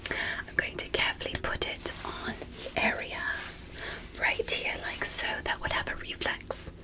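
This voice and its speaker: A woman playing a gentle, curious alien doctor in a whispered ASMR medical roleplay, speaking softly and breathily with careful, reassuring, formal phrasing. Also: a little slow, close to an everyday voice, poor audio. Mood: careful, clinical.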